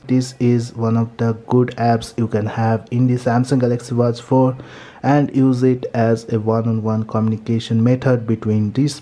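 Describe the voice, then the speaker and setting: A man's voice: medium pitch, mellow. A man narrating a step-by-step tech tutorial in a methodical, repetitive way.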